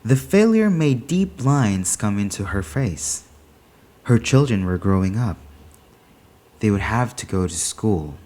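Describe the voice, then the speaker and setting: Slow, low-voiced man reading a story. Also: sing-song, a little mellow. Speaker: story reader. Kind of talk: scripted short story read aloud.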